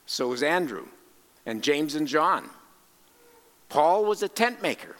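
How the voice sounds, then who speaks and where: A man preaching a sermon as a missionary, speaking deliberately and emphatically in short phrases with pauses, in a large reverberant space. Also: on the high side, big pitch swings, fairly bright tone. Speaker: preacher and cross-cultural missionary. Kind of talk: sermon with scripture quotations and rhetorical questions.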